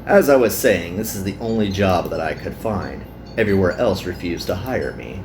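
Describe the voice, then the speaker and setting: A man playing an animated, downtrodden young worker. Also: low-pitched, normal speed, over music. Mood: earnest, embarrassed. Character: young, downtrodden, self-deprecating male worker in a visual novel.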